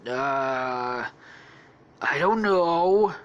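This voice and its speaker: Lady doing a gruff deadpan girl with a manly voice, talks super slow. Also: very deep voice, dull audio, full character voice.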